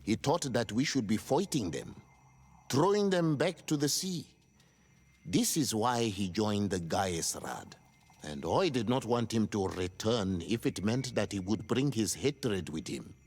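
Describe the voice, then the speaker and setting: A grave village chief in a game, raspy husky voice, pitch moves around a lot. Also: male voice, animated, measured pace, darkish tone, exaggerated voice. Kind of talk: video game cutscene acting.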